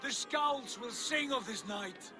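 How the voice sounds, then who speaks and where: A proud Viking commander for a video game. His high voice carries grand, assured, brotherly authority. Clear ambient noise sits behind it. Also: takes it slowly, crowd noise. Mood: triumphant. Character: confident, boastful Viking war leader, protective brother. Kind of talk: dramatic game cutscene acting.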